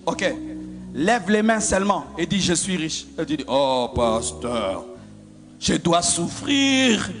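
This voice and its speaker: A man from the Congo preaching a fervent sermon as a pastor, repeating lines and shouting in a half-sung cadence. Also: on the high side, very animated, takes it slowly. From Democratic Republic of the Congo. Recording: preaching over loud music.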